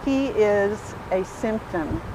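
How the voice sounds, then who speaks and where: A woman activist with a medium-pitched voice makes statements for a news report. She is outdoors, with street and vehicle noise clearly audible behind her. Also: slow pace. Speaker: protester, activist.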